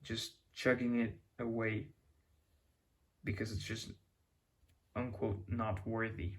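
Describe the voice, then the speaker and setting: A man's voice: lowish voice, flat delivery, slow. A young man with a Mexican accent talking to camera in an unscripted, reflective ramble, often restarting and hunting for words. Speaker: YouTuber.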